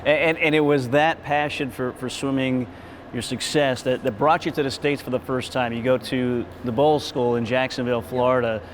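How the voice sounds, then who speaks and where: A man hosting a university sports show, narrating scripted openings and interviewing a coach in a smooth, upbeat presenter manner. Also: medium pitch, steady tone, moderate pace, a little hiss or hum.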